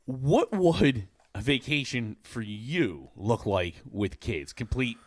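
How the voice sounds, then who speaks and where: A man's voice: mid-range voice, animated, slow, no echo, studio quality. A middle-aged man hosting a podcast in casual, candid conversation with some offhand swearing. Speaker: podcast host. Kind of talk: casual chat.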